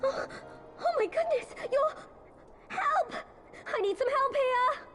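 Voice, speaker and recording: A tiny, kindhearted, excitable girl from a fantasy game. The voice is extremely high and squeaky, swinging widely in pitch with fretful energy, over soft music. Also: slow pace, exaggerated voice. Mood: alarmed. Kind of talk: video game voice acting.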